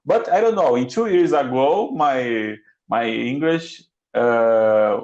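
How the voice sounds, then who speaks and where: Halting Brazilian man learning English. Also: mid-range voice, lively intonation, a little mellow, muffled recording. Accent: Brazilian Portuguese. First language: Portuguese. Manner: halting, searching for words, many restarts. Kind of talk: casual online lesson chat. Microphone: computer mic on a video call.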